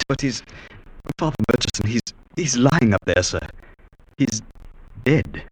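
An actor playing a shaken, deferential manservant, halting and stammering, in a 1940s radio drama on a scratchy recording. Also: male voice, mid-range voice, animated, husky. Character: shaken, deferential manservant bringing news of a death.

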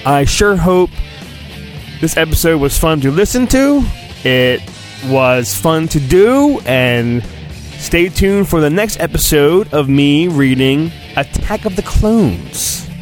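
Playful man with a higher-pitched voice. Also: sing-song, slow, faint music behind. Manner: playful, mock-dramatic. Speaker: podcast host reading a storybook. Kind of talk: dramatic storybook reading with casual asides.

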